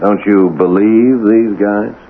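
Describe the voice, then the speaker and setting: An actor playing a blunt, cynical homicide lieutenant with a hard-boiled, skeptical manner, in a 1980s radio drama style. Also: male voice, fairly low pitch, steady tone, slow pace. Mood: suspicious. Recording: tinny, noisy old radio broadcast.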